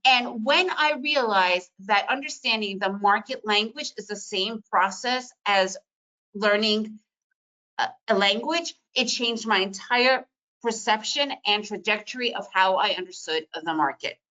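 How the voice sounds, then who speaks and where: A middle-aged woman from the former Soviet Union, a native Russian speaker, giving an energetic, emphatic webinar talk on trading. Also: medium pitch, unhurried, muffled recording. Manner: energetic, emphatic, repetitive phrasing. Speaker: trading educator. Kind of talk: webinar presentation.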